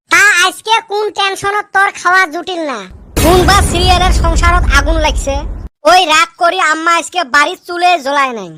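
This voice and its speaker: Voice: extremely high pitch, background noise. A performer voicing a mischievous, bickering village boy in a comedy cartoon, speaking in the Rajbongshi dialect of northern Bengal. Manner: colloquial, bantering, complaining.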